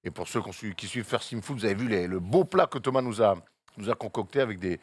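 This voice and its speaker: Tired man rambling fast. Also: lowish voice, lively intonation, no room sound. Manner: rambling, quick weekend recap. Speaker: panelist. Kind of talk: casual panel chat.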